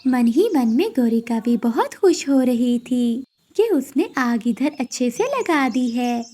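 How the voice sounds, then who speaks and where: A woman narrator of a children's animated story. Her voice is high-pitched with a mellow, rounded tone, delivering scripted storytelling. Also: takes it slowly.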